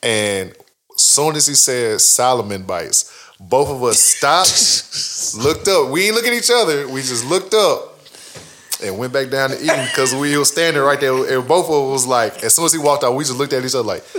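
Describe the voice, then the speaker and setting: A man, co-hosting a casual podcast chat and telling stories in loud, slangy bursts with lots of laughter. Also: medium pitch, moderate pace, slight background noise. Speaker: podcast co-host.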